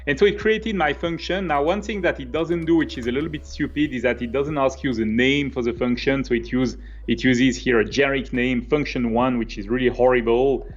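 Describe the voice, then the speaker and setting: Swiss French man, slightly higher voice. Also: brisk, hardly any pauses, highs cut off. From French-speaking Switzerland. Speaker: software developer presenting a coding tutorial. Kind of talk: conversational live coding walkthrough.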